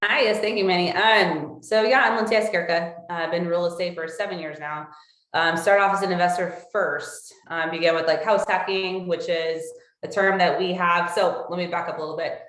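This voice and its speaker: A woman's voice: lowish voice, fairly even intonation, brisk, fairly bright tone. A woman introducing herself casually as an online panel guest, explaining things informally as she goes. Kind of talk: casual, unscripted self-introduction. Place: room with echo, online video call. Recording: echoey room on video call.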